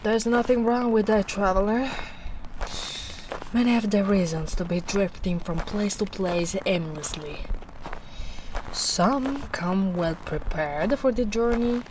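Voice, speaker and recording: A woman playing a big, gentle female ogre in a soft-spoken, soothing, teasing ASMR roleplay. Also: mid-range voice, slow, lightly acted. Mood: dry, teasing. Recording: noisy storm ambience behind voice.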